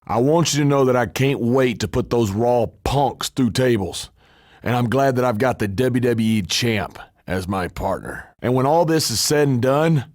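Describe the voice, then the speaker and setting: Cocky wrestler guy some man acting for a video game, brash scheming trash talker, dry close recording, medium pitch. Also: lively intonation, moderate pace, a little mellow, no room sound, close to an everyday voice. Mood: confiding, measured. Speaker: pro wrestler voicing a video game character. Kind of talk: scripted wrestling game dialogue.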